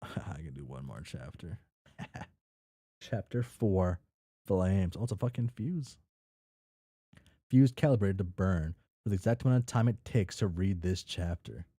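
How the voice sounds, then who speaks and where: A man with a low, soft-edged voice reads a story aloud in a casual way. He trips over words, repeats them and slips in his own sweary asides. The sound is dry, with very little room in it. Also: lively intonation, unhurried, no room sound. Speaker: reader reacting to a fan fiction. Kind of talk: casual read-aloud with off-the-cuff commentary.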